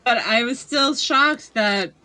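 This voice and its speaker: A woman's voice: fairly high pitch, takes it slowly, a crowd in the background, rough recording. A woman co-hosting a casual book discussion podcast, speaking in a wry, candid way. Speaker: podcast co-host.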